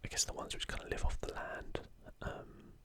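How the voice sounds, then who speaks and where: A man speaking in a breathy, unvoiced whisper, giving soft ASMR commentary as he hosts a video. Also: moderate pace.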